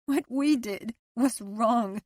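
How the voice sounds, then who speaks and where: A woman narrator voicing a frightened, guilt-ridden wife in an audiobook, tearful and pleading, her voice climbing toward shouts. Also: on the high side, moderate pace, husky, dry recording, studio quality, voice pushed up. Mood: panicked. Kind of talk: audiobook character voice.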